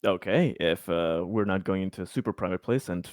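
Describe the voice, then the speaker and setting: A man playing a tabletop game, discussing it casually in a halting, tentative way. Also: low-pitched, crowd noise.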